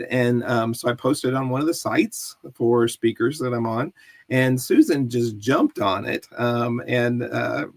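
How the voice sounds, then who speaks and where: A man's voice: medium pitch, no echo. A man hosting a video-call interview in a dry, close sound. He is upbeat and chatty, talking in a middle voice full of laughs and fillers. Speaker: podcast host. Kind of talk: conversational interview.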